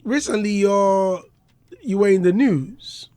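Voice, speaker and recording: A man with a Ghanaian English accent hosting a radio show in the studio, asking questions in a deliberate, drawn-out way. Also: high-pitched, mellow, no echo. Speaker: radio host. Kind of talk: morning radio interview. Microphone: studio microphone.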